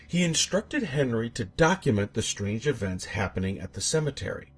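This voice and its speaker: A man's voice: mid-range voice, sing-song, normal speed, husky, soft background music. A man narrating a scripted horror story in a theatrical, suspenseful way.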